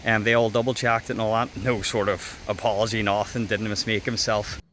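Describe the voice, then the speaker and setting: A man's voice: fairly low pitch, little pitch movement, fairly quick, fairly bright tone, slight background noise. Northern Irish man chatting outdoors. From Northern Ireland. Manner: chatty, rambling, with fillers and wee. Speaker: vlogger. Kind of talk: casual storytelling vlog. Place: outdoors by a railway line.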